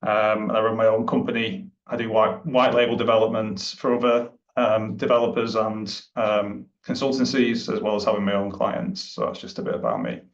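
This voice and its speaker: A man from the UK with a British accent, presenting his software at a meetup over a video call, hesitant with many fillers and restarts. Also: lowish voice, moderate pace, hardly any pauses. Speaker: presenting developer. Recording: distant, roomy, dull sound.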